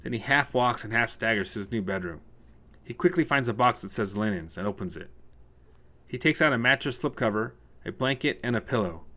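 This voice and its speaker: Animated male storyteller, middle pitch. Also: fairly quick. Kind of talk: scripted short story narration, present tense.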